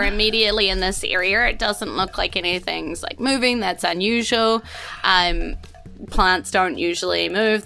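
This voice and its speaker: A woman's voice: mid-range voice, sharp tone. A woman with a New Zealand accent narrating a tabletop roleplaying game as game master, informal and chatty with playful slang. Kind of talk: casual, improvised game narration. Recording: music playing behind the voice.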